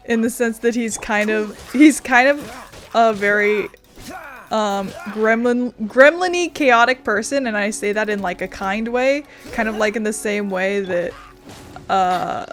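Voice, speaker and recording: A woman giving casual, playful commentary as she streams a game, chatty and informal. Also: on the high side.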